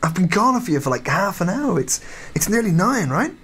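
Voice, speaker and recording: A man's voice: high-pitched, very animated, brisk, fairly bright tone, full character voice. A man in an audio drama playing an easygoing, amused housemate who talks in a casual, hesitant way. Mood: amused, bemused. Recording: clear background ambience noise.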